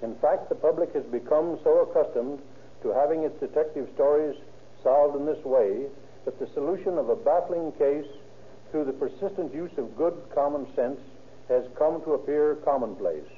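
A man giving a measured, formal scripted address as an official, on an old 1930s radio recording. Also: fairly high pitch, narrow, tinny audio, slight background noise. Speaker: state narcotics enforcement chief, guest speaker.

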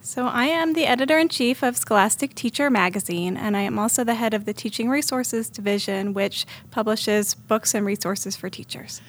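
A woman's voice: on the high side, normal speed, hardly any pauses. A woman answering as an interview guest, explaining things conversationally as an editor.

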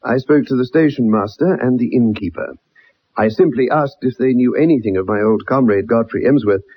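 An actor playing an earnest, determined gentleman, speaking formally in a 1960s radio drama. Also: medium pitch, brisk, breathy edge, close to an everyday voice. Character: earnest, determined gentleman, loyal former comrade. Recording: muffled old radio recording.

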